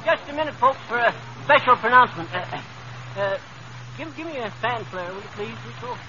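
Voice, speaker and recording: A man's voice: very high voice, animated, a little fast, over loud music. A man playing a folksy, blustering married fellow in 1930s radio comedy, with ungrammatical, stammering talk. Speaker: comedy show star. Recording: dull, scratchy 1930s radio recording.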